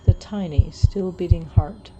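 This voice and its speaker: Over clear background noise, a woman with a fairly low voice narrates horror fiction. Her delivery is measured and dramatic, like scripted storytelling. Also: highs cut off.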